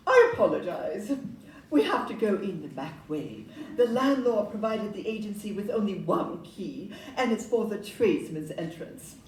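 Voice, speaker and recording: A woman acting a polite Victorian estate agent in a stage audio play, courteous, deliberate and salesmanlike. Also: mid-range voice, sing-song, lightly acted. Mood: apologetic selling. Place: live indoor performance in a club room. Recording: roomy distant live recording, background noise.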